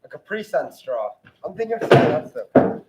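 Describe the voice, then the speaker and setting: A man's voice: fairly high pitch, very animated, a little fast. A young man chatting loosely and joking as a co-host in a hot studio. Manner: joking, loose, laughs often. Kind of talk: casual chat. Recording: echoey room with loud noise.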